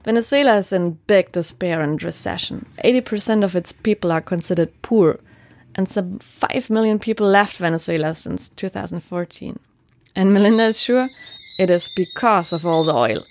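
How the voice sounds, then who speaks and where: Steady woman narrating a report. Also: medium pitch, normal speed, no echo. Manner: clear, steady, explanatory. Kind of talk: scripted documentary narration.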